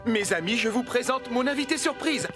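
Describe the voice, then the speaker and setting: A man's voice: very high-pitched, fairly quick, over music. A man voicing a flamboyant, grandiose showman in a children's cartoon, theatrical and effusive. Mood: proud. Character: flamboyant, grandiose male showman welcoming his guests. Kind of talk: animated children's cartoon dubbing.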